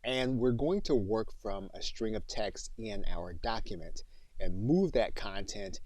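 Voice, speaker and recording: Casting: male software trainer, fairly deep voice, orderly instructional delivery, dry recording. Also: expressive, unhurried, no echo. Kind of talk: step-by-step screen tutorial narration.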